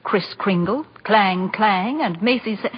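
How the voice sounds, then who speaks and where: An actress in a 1940s radio drama playing a worried, practical professional woman with a brisk way of talking. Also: medium pitch, slightly rough. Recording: muffled 1940s radio recording.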